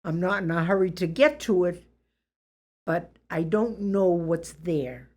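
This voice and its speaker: An elderly woman with a Boston accent, reflecting on her life in an interview in a deliberate, plain-spoken, good-humored way. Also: on the low side, animated, darkish tone. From Boston area, USA.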